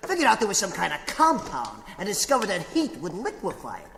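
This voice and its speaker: An actor playing a blunt, cocky, slangy brawler sidekick in an old-time radio adventure, with an excitable manner. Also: male voice, sits high, fairly quick, gravelly, long reverb tail, heavily acted. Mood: cocky, grumbling. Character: brawny, scrappy, blunt comic sidekick.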